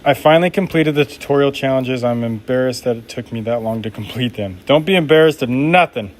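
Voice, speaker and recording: A man's voice: mid-range voice, fairly quick. A man making knives during a live stream, chatting casually and reading viewer comments aloud in an upbeat, laid-back way.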